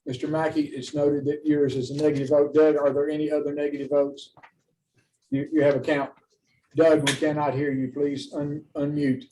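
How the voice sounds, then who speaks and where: Flat-toned man chairing a meeting, slightly distant. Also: on the high side, normal speed. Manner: procedural, courteous. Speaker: commission chair. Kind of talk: chairing a formal public meeting. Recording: distant mic, faint background noise.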